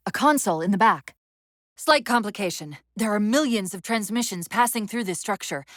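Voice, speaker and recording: A woman acts out a female AI companion in a military sci-fi game. She is quick-witted, earnest and strained, briefing a soldier crisply. Her voice swings widely in pitch, and the sound is very dry. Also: fairly bright tone, no room sound, lightly acted. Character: female military AI companion, urgent, clever, wry, increasingly strained. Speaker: video game voice actor. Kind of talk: video game voice acting.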